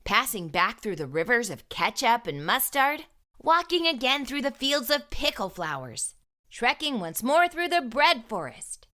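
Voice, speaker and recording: A woman reading a storybook aloud to young children, with expressive, emphatic delivery and deliberate phrasing. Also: on the high side.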